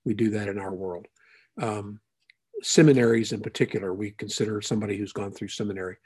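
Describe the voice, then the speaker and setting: Guy pastor preaching casually, husky warm voice, lots of little asides. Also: on the low side, steady tone. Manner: unhurried, thinking aloud with frequent asides. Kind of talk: conversational sermon.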